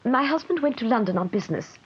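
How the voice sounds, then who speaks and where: A woman's voice: on the high side, expressive, fast talker, close to an everyday voice. A poised scheming widow, as an actress plays her. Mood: mournful. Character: scheming widow posing as grieving woman. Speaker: claimant widow. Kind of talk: 1950s radio drama acting. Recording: muffled vintage radio transcription with hiss.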